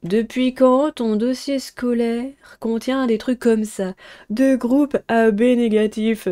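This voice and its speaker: A woman's voice: fairly high pitch, dark tone. A young woman, casually commenting on a game and reading its text aloud. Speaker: streamer playing a visual novel.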